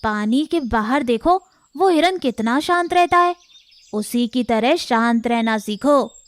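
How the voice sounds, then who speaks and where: A woman voicing a cunning crocodile in an animated children's fable, scolding theatrically like a fussy parent. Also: on the high side, slow, no echo. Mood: feigned exasperation.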